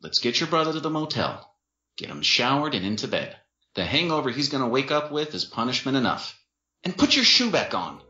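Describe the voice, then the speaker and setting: Man acting a placating young boyfriend, raised pitch. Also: sing-song, a little fast, pushed and bright, roomy sound, lo-fi sound. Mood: relieved. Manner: placating, reasoning, pleading. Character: muscular young man, level-headed peacemaking boyfriend.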